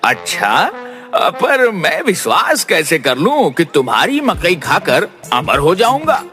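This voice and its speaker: Woman acting a greedy old merchant man, haggling, wary, dropped pitch, cartoon story, music behind. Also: very animated, slow pace, a bit bright, full character voice. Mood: suspicious.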